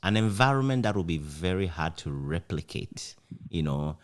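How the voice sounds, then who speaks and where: A man with a Ghanaian accent speaks in a fairly low voice that rises and falls a great deal. He talks casually, as a guest reminiscing in an interview, with an animated storytelling manner. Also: moderate pace. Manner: animated, chuckles often while recounting anecdotes. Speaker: interview guest, former radio broadcaster.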